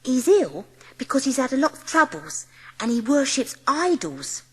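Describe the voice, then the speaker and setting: A woman's voice: sits high, very animated, moderate pace, slightly rough, in a large hall, pitched above the performer's own voice, exaggerated voice. A woman voicing a humble, chatty young servant girl with uneducated dialect speech in a storybook. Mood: excited, confiding.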